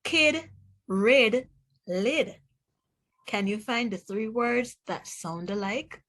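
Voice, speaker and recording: A woman reading aloud to young children as a teacher, pronouncing words deliberately one by one and putting questions to the listener. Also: a little high, a bit bright. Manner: deliberate word-by-word enunciation, asks the listener questions. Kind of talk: read-aloud phonics lesson for children.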